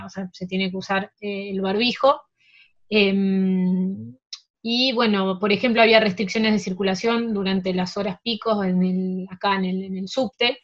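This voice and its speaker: A woman with an Argentine Spanish accent, speaking as an interview guest over a video call, pausing often with fillers and restarts. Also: medium pitch, lo-fi sound. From France. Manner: hesitant, many fillers and restarts. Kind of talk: casual interview, remote call.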